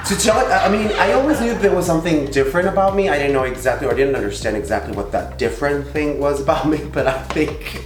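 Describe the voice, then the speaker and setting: A man from Tijuana whose first language is Spanish, co-hosting a casual Q&A in a playful, animated way. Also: a little high, quick pace, hardly any pauses, roomy sound, over music. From Tijuana, Mexico. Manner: animated, playful, laughs often. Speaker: co-host. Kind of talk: casual Q&A chat.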